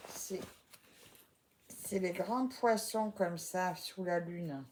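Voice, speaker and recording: A woman's voice: lowish voice, extremely slow, darkish tone, a little hiss or hum. A woman casually explaining an embroidery project to her co-host and viewers while she works. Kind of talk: casual how-to chat.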